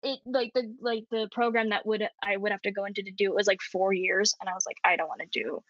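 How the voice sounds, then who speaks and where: A young woman with a high, squeaky voice, chatting as a podcast guest, giggly and rambling with many fillers. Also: fairly even intonation, quick pace, a bit bright, dry recording, dull audio. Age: young adult. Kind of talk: casual podcast chat.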